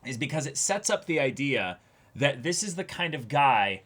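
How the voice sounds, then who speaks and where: A young adult man co-hosting a casual discussion show, speaking emphatically in halting phrases with repeated words. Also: medium pitch, very animated, a bit bright. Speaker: co-host of anime reaction show. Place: small indoor room, seated before a backdrop.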